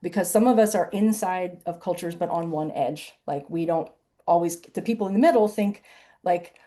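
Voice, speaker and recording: Chatty fifty-something woman, mellow voice. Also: lowish voice, moderate pace. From Southern United States and Illinois. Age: 50s. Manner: chatty, animated, tags points with "right?". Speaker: author and webinar presenter. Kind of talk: conversational webinar Q&A answer.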